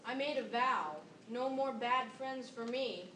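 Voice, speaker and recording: A woman's voice: a little high, unhurried, a bit bright. A young teenage girl reciting a scripted readers theater piece as a student. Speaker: student performer. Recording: echoey room, distant mic, background noise.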